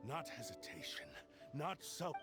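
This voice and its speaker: An actor playing a proud, vengeful cursed blade spirit, a theatrical and menacing villain in a video game. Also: male voice, on the high side, very animated, a little slow. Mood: bitter, defiant. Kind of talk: dramatic video game voice acting. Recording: loud music under the voice. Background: loud game music.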